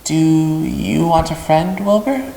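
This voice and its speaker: A man's voice: a little high, slow, gravelly, a little hiss or hum, pitched above the performer's own voice. A man voicing a small, kindly storybook creature with a thin, pleasant voice and a reassuring manner. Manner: gentle, reassuring. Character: small, kindly unseen creature befriending in darkness. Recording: echoey room with long reverb.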